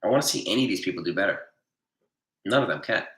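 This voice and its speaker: A man hosting a casual livestream, reading viewer comments and answering them bluntly, nasal from allergies and a cold and often clearing his throat. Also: low voice, animated, fast, a bit bright, roomy sound. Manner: blunt, argumentative, defends his opinions. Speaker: livestream host.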